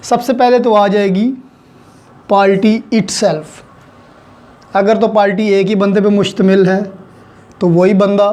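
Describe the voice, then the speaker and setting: High-pitched Pakistani man teaching, mellow tone. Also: little pitch movement, slow pace, pauses often. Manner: didactic, spells out points with examples and repetition. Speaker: law teacher. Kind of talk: explanatory lecture mixing Urdu with English legal terms.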